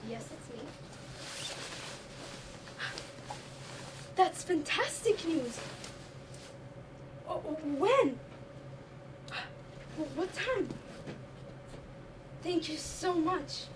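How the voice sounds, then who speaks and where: Young woman playing exasperated housewife, sarcastic, indignant, very high voice, live stage play, distant microphone. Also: very animated, extremely slow, long pauses, heavily acted. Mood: delighted. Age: teenager or young adult student. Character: exasperated, put-upon young housewife standing up for herself. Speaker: student stage actor. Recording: distant, noisy stage recording.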